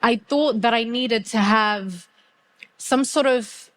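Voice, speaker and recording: A woman's voice: on the high side, slow, fairly bright tone. A young adult woman from Zimbabwe speaking candidly and reflectively as a guest in a live panel conversation on stage. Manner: earnest, candid, searching. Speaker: guest speaker, podcaster and author.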